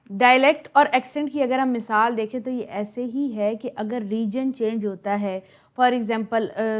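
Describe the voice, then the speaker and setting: A woman's voice: mid-range voice, a little mellow. A woman from Pakistan with a Pakistani Urdu accent, explaining linguistics notes as a lecturer, repeating points with examples and slipping in English terms.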